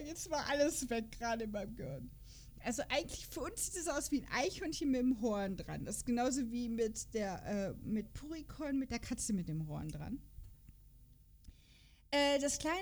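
A woman's voice: on the high side, animated, moderate pace, darkish tone, a little hiss or hum. A woman in a casual podcast chat, playful and giggly, reading character profiles aloud and stumbling over words. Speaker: podcast host.

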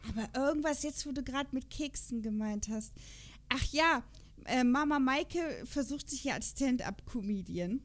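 A woman's voice: sits high, moderate pace. A woman with a German accent co-hosting a podcast in a casual, chatty and colloquial way. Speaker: podcast co-host.

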